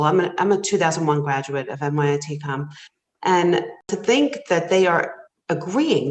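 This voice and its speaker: A woman around fifty, a program director, speaks emphatically and admiringly. Her voice sits very low for a woman, and it is recorded in an echoey room. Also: a little mellow. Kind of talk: interview answer.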